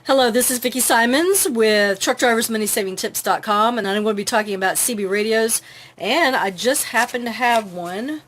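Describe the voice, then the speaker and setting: A woman's voice: fairly high pitch, moderate pace, a bit bright. A middle-aged woman hosting an informal how-to talk, chatty, with many fillers. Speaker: host.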